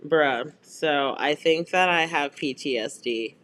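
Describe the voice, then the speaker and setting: A man chatting casually with friends, telling stories in an animated way with slang and swearing. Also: mid-range voice, slow pace, a little hiss or hum. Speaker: podcast co-host.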